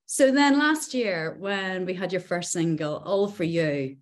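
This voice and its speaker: A middle-aged woman radio presenter chatting with a guest on a video call, enthusiastic and quick in bursts. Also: fairly low pitch, crowd noise. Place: small indoor room.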